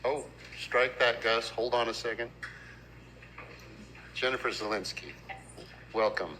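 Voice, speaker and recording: A man chairing a legislative hearing, brisk and procedural as he calls witnesses. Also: mid-range voice, sharp tone, a little hiss or hum, rough recording. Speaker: committee chairman.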